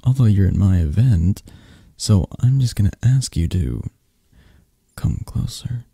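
Man acting a low gravelly, possessive mafia boss. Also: lively intonation, dry recording, clean studio sound, full character voice. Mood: coaxing, gentle.

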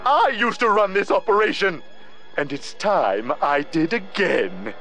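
A man playing a flamboyant, scheming, sarcastic mad scientist villain in a cartoon video game cutscene, with theatrical drawn-out delivery. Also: sits high, very animated, pushed and bright, faint music behind, heavily acted. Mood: smug, mocking.

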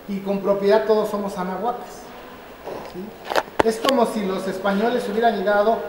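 A man in his fifties from Mexico giving a lively public lecture, questioning his audience and pressing his points. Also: high voice, slight background noise. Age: 50s. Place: large roofed hall with metal roof beams. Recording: echoey hall, camera at a distance.

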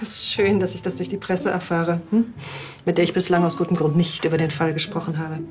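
A woman's voice: fairly low pitch, steady tone, brisk, close to an everyday voice. An actress playing a stern, sarcastic female police superior with a cutting manner in a TV crime drama. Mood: cold, reproachful.

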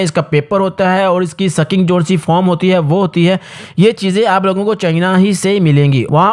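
High-pitched Pakistani man narrating. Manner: addresses viewers directly, instructive. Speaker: video host. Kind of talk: scripted explainer voice-over narration.

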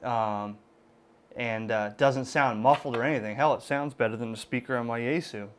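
Man, middle-aged, American accent, medium pitch, casual product demo, halting delivery, explanatory, thinking aloud. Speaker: ham radio gadget reviewer. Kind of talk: casual unscripted product demonstration. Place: indoors at a desk in a room.

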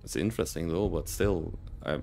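A man's voice: low voice, moderate pace, gravelly. A man casually commenting over a game he is streaming, dry and unimpressed. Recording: loud game music over him.

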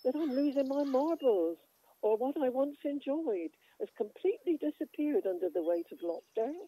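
An actor, a woman playing an ageing, forgetful and gently self-mocking villager in a radio play. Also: high voice, expressive, moderate pace, no echo, low-quality recording. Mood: gently wry.

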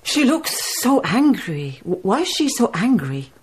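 An actress playing an earnest, strong-willed young Danish baroness in a radio drama. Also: female voice, mid-range voice, big pitch swings, a little slow, slightly rough. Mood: puzzled, tender.

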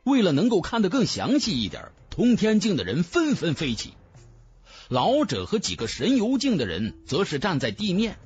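A man's voice: high voice, wildly swooping pitch, moderate pace, breathy edge. A man narrating a fantasy novel as a dramatic scripted audiobook. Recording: music bed under the voice.

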